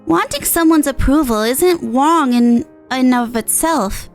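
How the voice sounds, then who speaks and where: A woman's voice: sits high, measured pace, pitched above the performer's own voice. A woman voicing a small, motherly innkeeper from a video game, caring, folksy and encouraging. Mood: gently firm. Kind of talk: video game dialogue read in character. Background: game music.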